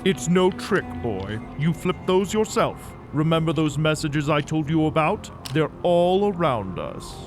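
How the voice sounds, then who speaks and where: A man's voice: a little high, big pitch swings, measured pace, few pauses, faint music behind. A man acting a theatrical, swooping mad-scientist villain. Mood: smug, menacing. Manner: theatrical, formal, condescending. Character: menacing, smug mad-scientist doctor with grandiose delusions. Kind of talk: acted audio drama.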